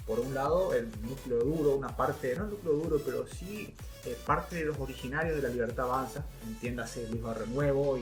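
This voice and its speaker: A man with an Argentine accent giving colloquial, opinionated political commentary as co-host of a podcast. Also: medium pitch. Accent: Argentine Spanish. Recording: music playing behind. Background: background music.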